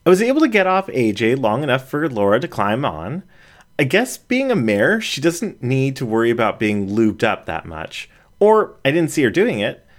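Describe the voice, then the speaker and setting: A woman's voice: very deep voice, exaggerated voice. A reader voicing a shy young female raccoon who talks in hesitant, emotional bursts.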